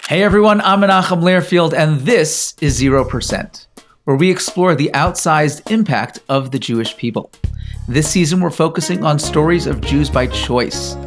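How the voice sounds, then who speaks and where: A man's voice: fairly high pitch, moderate pace, faint music behind. A man hosting a podcast interview, upbeat and welcoming as he asks his guests questions. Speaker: podcast host.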